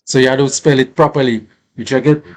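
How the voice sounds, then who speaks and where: Saint lucian guy, music artist, mid pitch, chatting casual in island english w lots of you knows. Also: steady tone, fast talker, a little mellow. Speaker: musician being interviewed. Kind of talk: casual interview chat.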